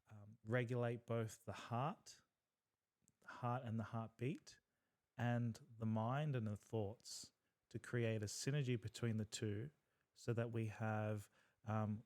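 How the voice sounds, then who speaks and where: A man's voice: fairly low pitch, darkish tone. Earnest male breathwork teacher. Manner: earnest, instructive, frequent fillers. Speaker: podcast co-host, breathwork facilitator. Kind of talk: explanatory podcast talk.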